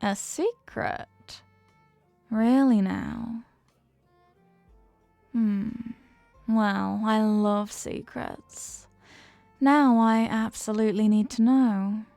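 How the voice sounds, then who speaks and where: Woman acting a playful flirty female stranger, intimate ASMR roleplay, lightly high voice, teasing murmur, dry close sound, faint music. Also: steady tone, gravelly, warm tone, dry recording, heavily acted. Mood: coy, teasing. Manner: coy, teasing, unhurried murmuring delivery.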